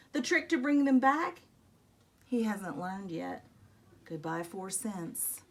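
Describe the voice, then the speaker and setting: A woman reading a children's picture book aloud expressively, telling it as a young boy, with dramatic emphasis and drawn-out rueful phrases. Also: a little high. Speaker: storybook reader. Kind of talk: expressive read-aloud of a children's story in a young boy's first person.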